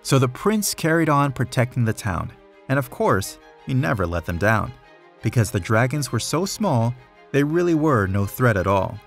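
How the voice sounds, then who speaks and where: A man reading a rhyming children's story aloud, in a measured, rhythmic storytelling way with clear emphasis. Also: mid-range voice, sing-song, faint music behind. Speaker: storybook reader.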